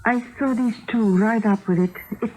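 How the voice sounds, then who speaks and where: A woman's voice: on the high side, normal speed, a little mellow, lightly acted. A woman acting in a 1970s radio drama as a blunt, bossy serving woman in a tavern. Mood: matter-of-fact. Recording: slightly noisy old radio broadcast.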